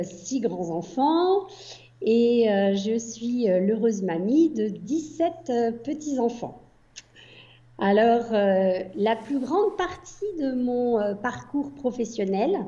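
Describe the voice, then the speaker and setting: An older French woman from the Paris region answers questions calmly, pausing often with filler sounds. Her voice is mellow and rather high for a woman. The recording sounds dull and lo-fi. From Paris region, France. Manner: hesitant, many fillers. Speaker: guest, entrepreneur. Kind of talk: casual interview answers.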